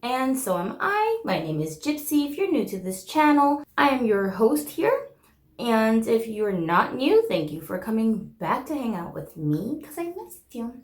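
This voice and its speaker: A woman's voice: fairly high pitch, sing-song, a little slow, echoey room. A woman in her thirties whose first language is Korean, hosting her channel in a candid, chatty way with frequent fillers. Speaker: channel host. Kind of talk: casual chat to camera.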